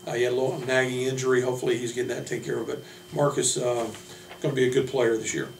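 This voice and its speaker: Even-toned male coach, distant and echoey. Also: medium pitch, gravelly, over music. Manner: halting, plain-spoken coach talk. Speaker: college basketball head coach. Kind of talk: unscripted team roster introduction. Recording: roomy, distant mic, noisy hum.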